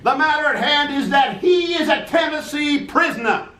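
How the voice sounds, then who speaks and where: A man playing a hot-tempered, profane lawyer who shouts and gloats in an amateur radio play. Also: very high-pitched, normal speed, echoey room. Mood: angry. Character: blustering hot-tempered male Tennessee lawyer. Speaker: opposing lawyer for Tennessee.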